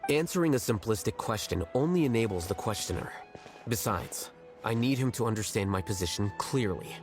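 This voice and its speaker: A man's voice: lowish voice, expressive, music in the background, lightly acted. A man playing a cool, aloof young scholar. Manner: dry, measured, matter-of-fact. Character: aloof young male scholar, blunt and detached.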